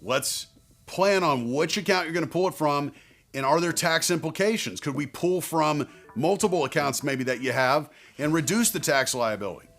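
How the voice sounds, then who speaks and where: A man speaking straight to viewers as a financial advisor, giving advice in a conversational, emphatic way with deliberate phrasing. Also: on the high side, a bit bright, soft background music. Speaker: financial advisor and video host. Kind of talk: direct-to-viewer advice talk.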